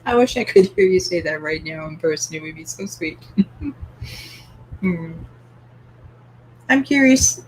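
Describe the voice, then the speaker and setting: A woman's voice: medium pitch, a little hiss or hum, rough recording. Canadian woman hosting a chatty book discussion. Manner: inquisitive, poses open questions to viewers; laughs lightly between comments. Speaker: book club host. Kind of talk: live-stream discussion, reading out viewer comments.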